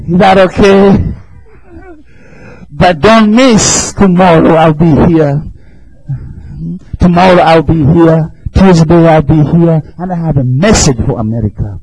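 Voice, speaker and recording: A middle-aged man from Africa with an East African accent, preaching a fervent sermon in a church, often shouting and chuckling. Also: on the high side, unhurried, long pauses, slightly rough, dry recording, poor audio. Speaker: visiting preacher.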